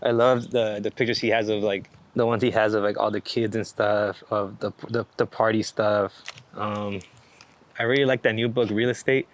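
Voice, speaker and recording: A man's voice: on the low side, little pitch movement, normal speed. A man talking casually as an interviewed photographer, rambling and enthusiastic, with slangy speech and plenty of swearing. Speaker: street and landscape photographer being interviewed. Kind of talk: casual unscripted interview chat. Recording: clear background noise, outdoor ambience.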